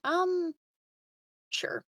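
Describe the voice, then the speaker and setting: A woman's voice: extremely high pitch, drawn-out words, a bit bright, no echo, studio quality. A woman chatting casually as a player in a tabletop role-playing game.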